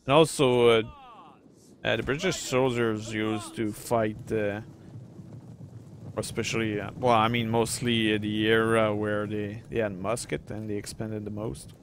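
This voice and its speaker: French Canadian man, low flat voice. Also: measured pace, a little hiss or hum. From Quebec, Canada. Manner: rambling, many uh fillers and restarts. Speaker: gameplay streamer. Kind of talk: casual gameplay commentary.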